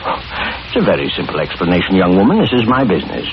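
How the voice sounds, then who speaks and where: An actor playing a smugly genial older killer in 1940s radio horror, with a mock-courteous, unctuous manner, on an old broadcast recording. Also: male voice, low voice, sing-song, fast talker, muffled recording, music in the background. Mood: smug, gloating. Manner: mock-courteous, unctuous, chuckling, repeats himself. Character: sinister, smugly genial older male killer luring young women.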